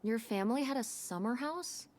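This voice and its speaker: A woman's voice: on the high side, expressive, moderate pace, darkish tone, natural voice. A woman playing a curious, wry teenage daughter in a naturalistic video game performance. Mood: curious, excited.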